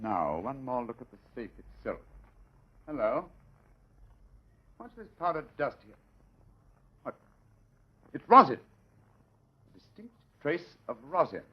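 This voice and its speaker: Pompous detective, animated, vintage radio. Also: male voice, medium pitch, slow, pauses often, husky, full character voice. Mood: keen, confident. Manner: precise, pompous, formal deductive speech. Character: brilliant, confident male gentleman detective, wryly proud. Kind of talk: 1940s radio drama acting. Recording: dull vintage radio recording.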